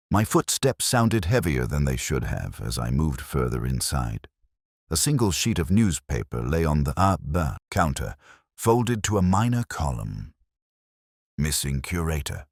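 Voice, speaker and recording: A man narrating a scripted first-person detective mystery as a reflective, world-weary inspector, with a measured, brooding literary delivery. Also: rumbling low, dry recording, clean studio sound.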